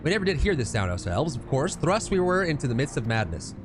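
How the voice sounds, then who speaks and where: A man's voice: on the high side, sing-song, fast, over music. Man playing a solemn ancient fantasy storyteller. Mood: grave. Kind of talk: reading fantasy game dialogue aloud.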